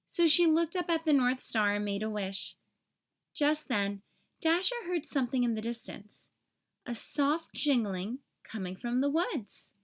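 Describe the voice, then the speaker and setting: A woman with a fairly high voice narrates a storybook for young children. She reads expressively, in the manner of a read-aloud storyteller. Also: animated, a little slow.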